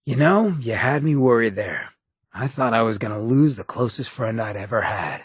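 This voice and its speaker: An actor playing a swaggering, sardonic but loyal male ghoul rebel in a video game, with a very raspy, gravelly American voice and a streetwise, folksy drawl. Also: medium pitch, lively intonation, moderate pace, dry recording, clean studio sound. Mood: warm, sincere. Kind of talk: video game companion voice acting.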